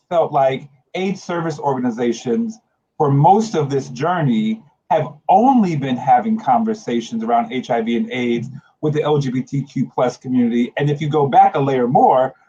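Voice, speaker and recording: A man's voice: fairly high pitch, mellow, breathy edge, highs cut off. A man in his late forties speaking earnestly and conversationally as a panel guest, reflective and emphatic, repeating phrases for emphasis. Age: late 40s. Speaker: guest, HIV organization leader.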